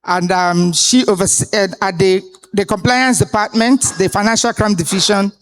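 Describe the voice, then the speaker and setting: A woman's voice: medium pitch, fairly even intonation. Woman moderating a conference panel. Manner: deliberate, authoritative delivery with pauses. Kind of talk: introducing panelists at a live conference. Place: conference hall stage.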